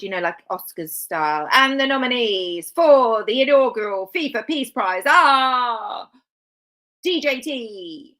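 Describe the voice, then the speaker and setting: A woman's voice: on the high side, fairly bright tone. A woman hosting a live stream, talking casually with dry sarcastic asides and rambling self-corrections.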